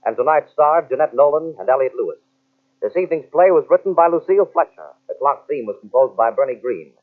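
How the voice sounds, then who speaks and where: A man announcing an old-time radio drama in a smooth, formal, measured way. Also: mid-range voice, animated. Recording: tinny old radio transcription with music.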